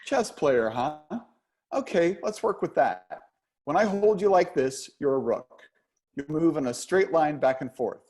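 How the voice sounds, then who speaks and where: A man playing a patient, gently teasing dance instructor of sixty-one in a play reading. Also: fairly high pitch, animated, a little slow. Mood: encouraging, instructive. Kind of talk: play reading over video call.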